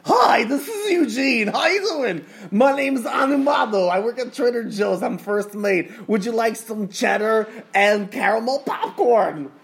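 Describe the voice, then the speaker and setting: A man doing a loud, higher-pitched impression of a brash, rude male grocery clerk, laughing and insulting customers. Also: expressive, unhurried, creaky, hardly any pauses, exaggerated voice. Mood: manic.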